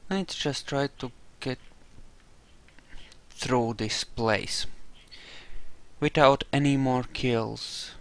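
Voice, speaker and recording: A man doing roleplay commentary over a game, musing aloud and explaining his character's reasoning in a deliberate way. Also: medium pitch, fairly even intonation, clear, resonant tone. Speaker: gamer narrating a roleplay playthrough.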